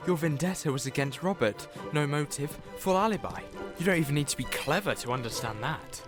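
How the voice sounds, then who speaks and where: A man's voice: fairly high pitch, a little fast, lightly acted. A man playing an eccentric time-traveling detective. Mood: incisive. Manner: theatrical, excitable, mock-exasperated. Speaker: the Doctor. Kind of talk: fan audio drama acting. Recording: music score behind.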